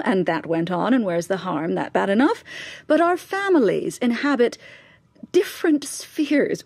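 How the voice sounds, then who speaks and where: A woman's voice: medium pitch, a little mellow, slightly rough, dry recording, full character voice. An actress playing a bitter, imperious, bigoted upper-class mother in a radio drama, clipped, cutting and sarcastic, with insistent questioning. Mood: scornful, defensive. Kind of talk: naturalistic radio drama acting.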